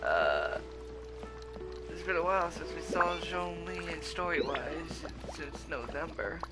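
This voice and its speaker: A male gaming streamer gives a casual, halting intro. His voice is slightly high and animated but under the weather, with loud game music behind. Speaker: streamer, gaming channel host.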